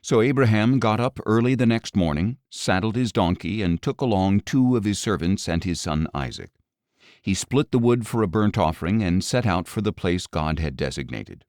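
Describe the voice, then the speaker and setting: A man with a deep voice narrates scripture for an audio Bible. His reading is measured yet expressive, with wide swings in pitch. The sound is close and dry, free of room echo.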